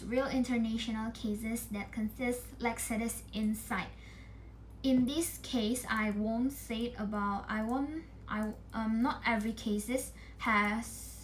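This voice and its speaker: A woman's voice: a little high, a little hiss or hum, rough recording. A young woman, a student presenting a law assignment in a casual, explanatory way, often restarting her sentences. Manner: casual, restarts often, many fillers like "like" and "lah". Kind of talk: informal explanatory class presentation.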